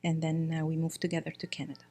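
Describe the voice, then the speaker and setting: A middle-aged woman from Egypt, a guest speaking in a casual interview, often pausing with fillers and restarting her sentences. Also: low voice, monotone, mellow. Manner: hesitant, many fillers and restarts. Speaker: guest, priest's wife.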